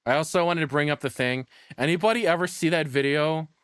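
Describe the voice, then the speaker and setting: A man's voice: fairly high pitch, fast talker, fairly bright tone, smooth, no room sound, clean studio sound. A man giving casual reaction commentary as a streamer, rambling, opinionated and free with swearing.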